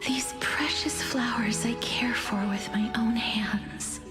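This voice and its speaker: A gentle, sorrowful maiden in a fantasy game. She speaks high, slowly and solemnly, with music clearly behind her. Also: in a whisper. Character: gentle, sorrowful solemn young woman tending flowers.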